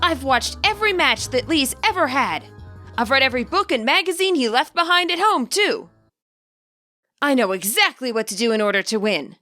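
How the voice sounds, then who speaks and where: Woman voicing an eager, cocky teenage boy, lively pitch. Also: moderate pace, soft background music, heavily acted. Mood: eager, competitive. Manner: excitable, competitive, boastful.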